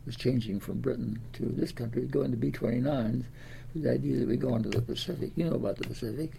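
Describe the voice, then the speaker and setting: Gravelly ninety-year-old Midwestern American man. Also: medium pitch, dark tone, a little hiss or hum, low-quality recording. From Des Moines, Iowa, United States. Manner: rambling, halting recollection with repeated words. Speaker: interviewee, World War Two veteran. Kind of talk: oral history reminiscence.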